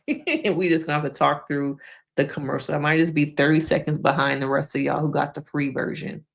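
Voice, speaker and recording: A woman's voice: deep voice, fairly even intonation, a little fast, creaky. A woman hosting a casual live stream, chatting frankly with viewers, laughing often, and losing her voice.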